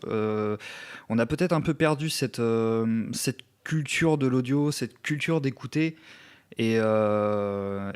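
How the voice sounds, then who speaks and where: A man's voice: fairly low pitch, smooth. A man speaking as a podcast guest in a thoughtful, casual way, tentatively searching for his words.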